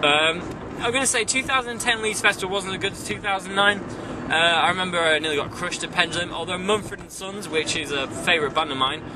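A man's voice: high voice, fast. Young man joking in a noisy car. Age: young adult. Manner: rambling, crude jokey banter. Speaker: vlog co-host. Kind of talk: casual chat. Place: inside a car at night. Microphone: handheld camera mic. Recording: distant mic, road noise.

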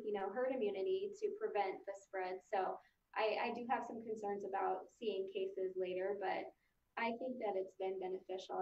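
A woman family doctor explaining her views in a measured way, with small restarts. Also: medium pitch. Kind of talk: casual video interview. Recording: distant, echoey, dull room audio.

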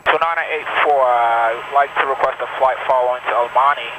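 A man's voice: medium pitch, steady tone, fairly quick. A man flying a small plane, talking in clipped aviation radio phrases and cockpit self-talk through a headset. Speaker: student pilot flying solo. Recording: muffled headset audio with loud engine noise.

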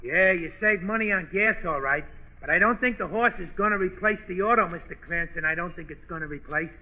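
A man's voice: high-pitched, a little fast, a little hiss or hum, close to an everyday voice. An actor playing a comical, eager working-class cab driver who repeats his own phrases, in 1940s radio style. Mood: plaintive, droll. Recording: dull vintage radio recording.

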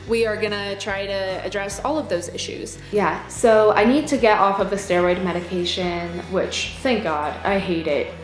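Female vlogger, twenties; candid, self-deprecating casual update, echoey recording over clear background music. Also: mid-range voice. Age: 20s. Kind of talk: casual personal health update vlog.

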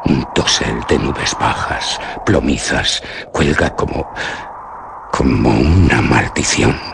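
An actor with a Castilian Spanish accent playing a haunted, feverish man lost among ancient graves in a radio drama, brooding and hushed, with dramatic pauses. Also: very deep voice, gravelly, noisy background, full character voice. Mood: ominous, brooding. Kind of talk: dramatic radio theatre monologue.

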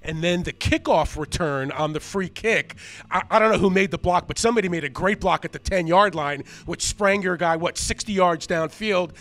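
Middle-aged sports interviewer, slightly higher voice, play-by-play recaps, handheld mic in a locker room. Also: male voice, normal speed, a bit bright. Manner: recaps plays in detail before asking. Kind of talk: postgame interview. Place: indoor locker room area.

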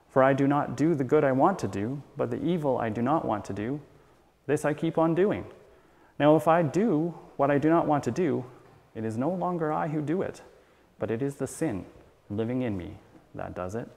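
A middle-aged man reading a written sermon aloud as a church elder, in a measured, earnest manner. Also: medium pitch, a little mellow. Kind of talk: read sermon. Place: church sanctuary at a wooden pulpit, indoors. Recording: reverberant church hall.

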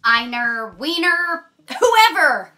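A woman's voice: high-pitched, big pitch swings, slow pace, sharp tone, soft background music, voice pushed up, exaggerated voice. A woman voicing a dramatic, increasingly exasperated storybook narrator in a children's read-aloud. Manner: dramatic, emphatic, fed up. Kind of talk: animated children's picture-book read-aloud.

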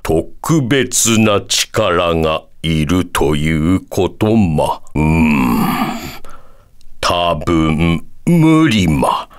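A man voicing a wise, proud elderly bear-like sage in a video game, measured and calm, ending sentences with a quirky verbal tic. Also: low voice, clear, resonant tone, heavily acted. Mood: wise, musing. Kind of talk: video game character voice acting.

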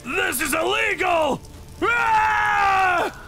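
Shouting, gravelly manga villain. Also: male voice, extremely high pitch, big pitch swings, slow pace, music in the background, heavily acted. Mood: agonized. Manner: loud, strained, frantic. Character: arrogant, brutish male villain, ranting and screaming. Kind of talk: over-the-top manga dub acting.

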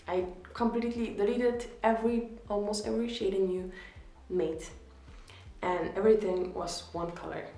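A woman's voice: medium pitch, fairly even intonation, normal speed, mellow, roomy sound. A woman in her twenties with a Polish accent, talking casually to camera as she gives friendly, encouraging art critiques, leaning hard on the word "really.". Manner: chatty, encouraging, repeats "really". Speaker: art YouTuber reviewing viewer drawings. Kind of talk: casual instructional talk to camera. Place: small indoor room against a plain wall.

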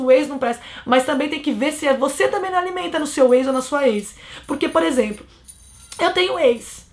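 Blunt, scolding woman vlogger. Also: sits high. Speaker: relationship advice vlogger. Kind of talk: casual advice monologue to camera. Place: small bedroom, indoors.

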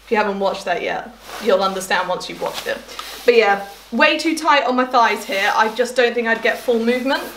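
A woman's voice: fairly high pitch, brisk, fairly bright tone. A young woman chatting casually and enthusiastically through a clothing try-on at home, often trailing off mid-thought. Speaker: fashion vlogger. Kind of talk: casual try-on haul chat. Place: hallway at home, indoors. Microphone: camera across the room. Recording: echoey hallway, faint hiss.

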